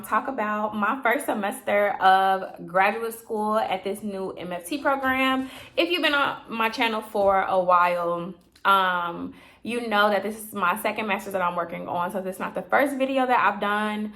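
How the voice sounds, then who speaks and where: A young woman from Chicago talks casually into her camera late at night, her voice slightly raspy. She rambles and explains with lots of "um" and "like", friendly and unhurried. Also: mid-range voice, fairly bright tone. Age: 20s. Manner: chatty, filler-heavy, explanatory. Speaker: vlogger, therapy grad student.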